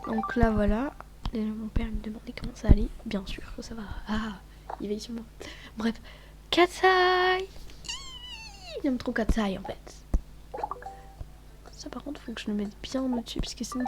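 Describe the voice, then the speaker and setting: A woman's voice: on the high side, animated, a little slow, pauses often, over music. A woman with a cold, sniffling as she chats casually over her gameplay, rambling and often dropping to a whisper. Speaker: gaming vlogger.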